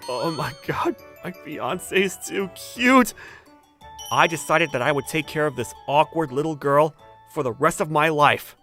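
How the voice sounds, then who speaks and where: Man acting earnest young romantic lead, swooping pitch. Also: animated, a little slow, bright, forceful tone, faint music behind, exaggerated voice. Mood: smitten. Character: earnest, flustered young male factory owner in love. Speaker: voice actor, lead and narrator. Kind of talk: dramatized manga dub with inner monologue.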